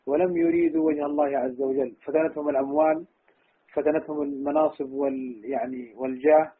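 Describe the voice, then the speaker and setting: A man's voice: on the high side, husky. A man giving religious teaching as a scholar answering a question, heard through tinny, narrow-band audio. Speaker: Islamic scholar.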